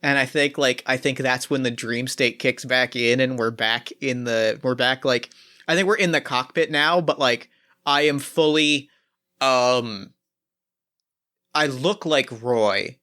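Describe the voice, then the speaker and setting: A man narrating as game master of a tabletop game, halting and full of restarts. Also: medium pitch, sharp tone. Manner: halting, with many fillers and restarts.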